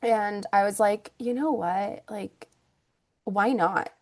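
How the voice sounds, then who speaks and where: Woman, 30s, from Ukraine, native Russian speaker, casual interview talk, rambling restarts, mid pitch. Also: takes it slowly. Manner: chatty, many fillers and restarts. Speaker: guest, naturopathic doctor and acupuncturist.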